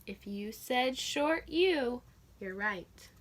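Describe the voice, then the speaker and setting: A woman teacher reading a picture book aloud to children, sounding out letters and vowel sounds clearly and asking her listeners questions. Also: a little high, expressive, drawn-out words. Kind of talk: children's read-aloud phonics lesson.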